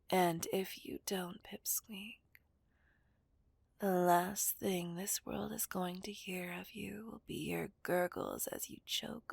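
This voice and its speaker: A woman's voice: lowish voice, gravelly, breathy edge, dry recording, heavily acted. A woman playing a dominant, taunting female villain in an ASMR roleplay, whispering breathily and possessively to a hostage. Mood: cold, threatening.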